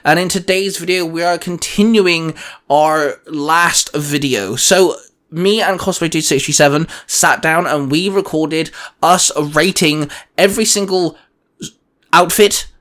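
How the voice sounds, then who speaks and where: A man's voice: on the high side, unhurried, fairly bright tone. A man hosting a casual gaming chat, talking in an enthusiastic, rambling way. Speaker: host.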